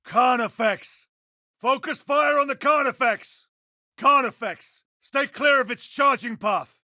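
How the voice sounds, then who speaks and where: An actor playing an urgent, resolute space marine fighter in a video game. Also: male voice, extremely high pitch, takes it slowly, pushed and bright, no room sound, clean studio sound, full character voice. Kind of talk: video game combat barks.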